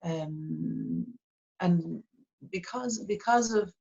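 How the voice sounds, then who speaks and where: A middle-aged woman with an Irish accent from Cork, talking reflectively in an interview and pausing often with fillers and restarts. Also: fairly low pitch, lively intonation, gravelly, warm tone, breathy edge, muffled recording. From Ireland. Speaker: artist, interview guest. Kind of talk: casual interview talk.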